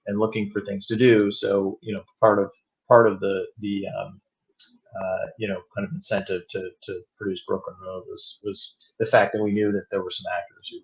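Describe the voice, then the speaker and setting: A man speaking casually as an interview guest, with halting, roundabout phrasing. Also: fairly low pitch, level tone. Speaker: podcast guest, audio drama producer and director. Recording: slightly dull, lo-fi sound.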